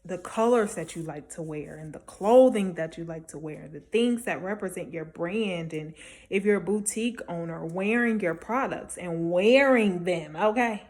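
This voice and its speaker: A woman's voice: fairly low pitch, normal speed, darkish tone. A woman talking casually and encouragingly as a small-business vendor giving advice, emphatic and conversational, often checking in with the listener. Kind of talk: casual motivational advice talk to camera.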